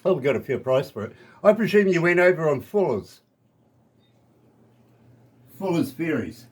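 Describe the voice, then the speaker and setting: Animated New Zealand man hosting radio. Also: a little high, normal speed. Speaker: radio DJ and interviewer. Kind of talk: casual live radio interview chat.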